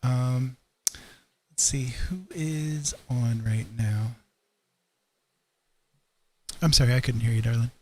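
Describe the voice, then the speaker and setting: A man with a mellow, relaxed tone hosts a livestream. He chats casually, musing aloud in an easygoing manner at an average pitch. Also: slow pace, pauses often. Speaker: livestream host.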